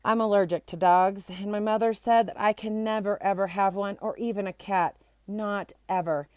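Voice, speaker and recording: A woman's voice: medium pitch, steady tone, normal speed, darkish tone, no room sound, close to an everyday voice. A woman voicing a mournful, earnest little girl in a storybook, explaining sadly in childlike run-on phrases.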